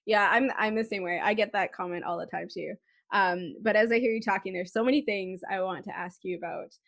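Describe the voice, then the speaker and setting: A woman's voice: mid-range voice, steady tone, a little fast, gravelly, a bit bright, muffled recording. A woman hosting a podcast, introducing her guest and interviewing her in a friendly, encouraging way. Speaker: podcast host.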